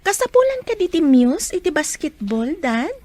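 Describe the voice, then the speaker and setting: Shy, high-voiced schoolgirl. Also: sing-song, normal speed, dark tone, no echo. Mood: curious. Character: shy, modest young female student, hesitant. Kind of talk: Filipino radio drama acting.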